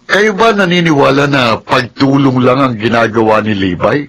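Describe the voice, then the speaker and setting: A man's voice: medium pitch, moderate pace, fairly bright tone, breathy edge, poor audio. A man playing a spiteful village gossip in a radio drama, taunting and accusing. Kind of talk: Filipino radio drama acting.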